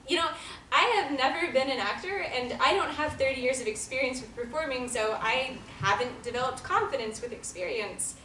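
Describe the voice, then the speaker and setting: A woman reading the part of an earnest young woman who lacks confidence. She speaks in an earnest, halting way. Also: high voice, sharp tone, a little hiss or hum. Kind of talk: table reading of a stage play. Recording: echoey room from a distant mic.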